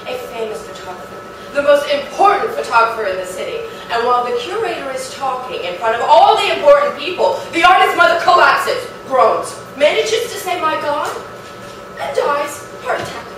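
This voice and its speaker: High-voiced, theatrical actress as affected wife, echoey. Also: female voice, very animated, normal speed. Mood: dramatic, aggrieved. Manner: theatrical, savouring each phrase. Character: dreamy, affected, shoe-loving wife of a shunned undertaker. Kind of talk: staged play reading. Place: live reading in an echoey performance room. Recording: roomy, distant, noisy live theatre recording.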